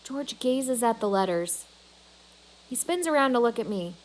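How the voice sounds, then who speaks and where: A woman voicing a proud, grumbling male gorilla who narrates his own storybook tale in a measured, deliberate way. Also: a little high, expressive, poor audio. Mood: observant. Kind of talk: storybook narration in first person.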